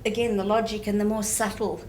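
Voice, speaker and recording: A woman's voice: medium pitch, flat delivery, fairly quick, a little mellow, slight background noise. A woman barrister sharing her observations thoughtfully in a panel discussion.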